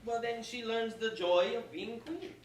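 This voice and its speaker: A woman's voice: a little high, unhurried, natural voice. A woman playing an earnest, easily flustered male baker and devoted husband in a staged reading of a verse comedy. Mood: earnest, exasperated. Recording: very echoey hall from far off, noisy.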